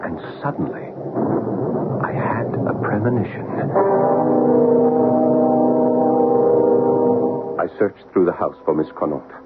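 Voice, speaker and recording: An actor playing an earnest, haunted son who tells his own story in a dramatic, measured old-time radio style. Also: sits low, animated. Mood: hushed, somber. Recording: tinny old radio recording with music.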